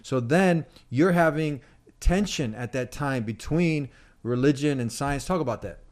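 A man's voice: mid-range voice, a little slow, darkish tone. A man interviewing a guest in a conversational way, reacting emphatically with exclamations.

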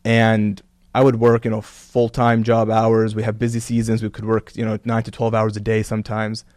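Interview guest: earnest, reflective man with a low, dry voice. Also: completely flat delivery, normal speed, a little mellow, no room sound. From Kuwait and Canada. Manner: earnest, reflective, many "you know" fillers. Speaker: guest, religious content creator. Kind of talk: casual interview talk.

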